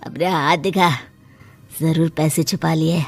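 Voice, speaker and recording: A performer voicing an abusive, drunken husband, scolding and bullying in a menacing drawl for a moral story. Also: male voice, a little high, steady tone, measured pace, soft background music. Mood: angry, threatening. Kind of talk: acted Hindi moral-story dialogue.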